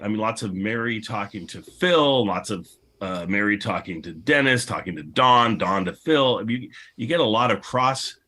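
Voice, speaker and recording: A man's voice: lowish voice, bright, forceful tone, husky. A man speaking as a podcast host in an unscripted panel discussion, rambling and enthusiastic as he repeats himself.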